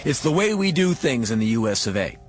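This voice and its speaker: A man's voice: mid-range voice, sing-song, fast talker, a little hiss or hum, lightly acted. An actor playing a brash, slick, pushy American car salesman in a radio drama. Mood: smug, insistent.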